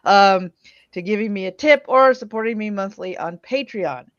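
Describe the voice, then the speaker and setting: A woman's voice: medium pitch, moderate pace, no room sound. Casual woman hosting a dull-sounding livestream. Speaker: livestream host. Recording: slightly dull close-miked stream audio.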